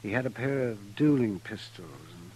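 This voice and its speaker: An actor playing an aging, wry and weary frontier doctor in an old-time radio western, musing with dramatic pauses. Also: male voice, fairly low pitch, expressive, mellow, husky. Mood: weary, confessional. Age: middle-aged. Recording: noisy vintage 1950s radio recording.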